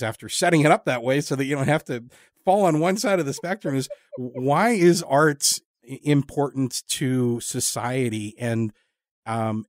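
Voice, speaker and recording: A man hosting a casual long-form interview, talking in a thoughtful, halting, discursive way. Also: medium pitch, no echo, studio quality. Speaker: podcast interviewer.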